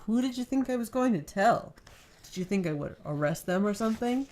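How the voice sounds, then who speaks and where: A woman's voice: medium pitch, expressive, brisk, a little mellow, natural voice. A woman reading the part of an easygoing, teasing husband in an audiobook novel, with a laid-back manner. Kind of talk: audiobook character dialogue.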